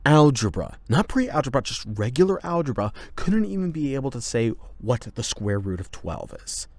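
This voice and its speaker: A man playing a cocky, rebellious high school delinquent in an anime boyfriend roleplay, talking in a casual, sardonic, slangy way. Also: very animated, normal speed, darkish tone, no room sound. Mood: exasperated.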